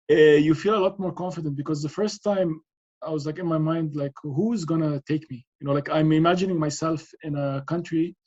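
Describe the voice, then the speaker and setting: Hesitant Lebanese engineer, muffled sound. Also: male voice, a little high, darkish tone. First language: Arabic. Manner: hesitant, many fillers and restarts. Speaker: interviewee, engineer. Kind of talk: casual interview answers. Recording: dull lo-fi sound.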